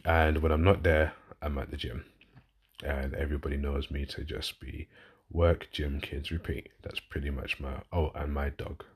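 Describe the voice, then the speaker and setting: Very deep, husky London man. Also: flat delivery, moderate pace. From London, England. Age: 30s. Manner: rambling, self-correcting, frequent fillers. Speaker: podcast host and fitness coach. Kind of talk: casual personal monologue.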